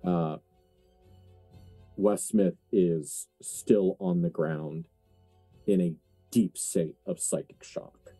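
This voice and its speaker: A low-pitched man with a very dark, mellow voice runs a tabletop horror game. He narrates slowly and deliberately, often halting to search for words, with faint music underneath. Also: steady tone. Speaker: game master.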